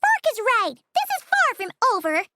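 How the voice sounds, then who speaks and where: A squeaky little game companion creature. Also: female voice, huge pitch swings, normal speed, heavy background noise, heavily acted. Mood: fired up. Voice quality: squeaky, childlike. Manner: blunt, eager, indignant. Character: small excitable floating companion creature, cheeky. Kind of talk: video game dialogue. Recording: game audio with music behind.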